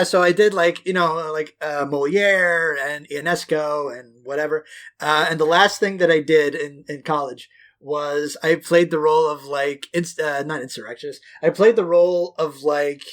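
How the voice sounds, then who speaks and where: A man's voice: fairly high pitch, fairly even intonation, measured pace, a bit bright. Rambling, profane man from West Quebec. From West Quebec, Canada. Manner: rambling, profane, self-deprecating storytelling. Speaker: podcast co-host. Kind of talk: casual podcast chat.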